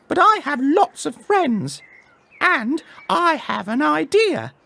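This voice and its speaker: A man voicing a tiny, friendly, helpful storybook mouse, eager and squeaky. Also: wildly swooping pitch, takes it slowly, creaky, bright, forceful tone, full character voice.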